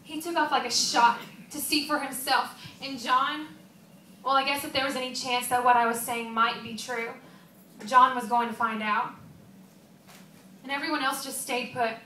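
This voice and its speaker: Woman acting out a grieving devoted female disciple, high bright voice, emotional stage monologue, confiding like she's telling you personally. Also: steady tone. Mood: bewildered, confiding. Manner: earnest, emotional, confiding, with pauses and rhetorical questions. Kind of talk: dramatic stage monologue, conversational storytelling. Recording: roomy, distant mic, faint background noise.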